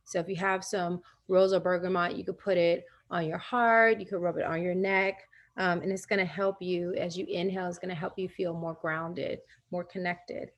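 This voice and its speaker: A woman's voice: lowish voice, level tone, normal speed. Chatty woman leading a wellness workshop. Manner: chatty and personal, laughs often, acts out quoted voices. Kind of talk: live virtual workshop teaching. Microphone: video call.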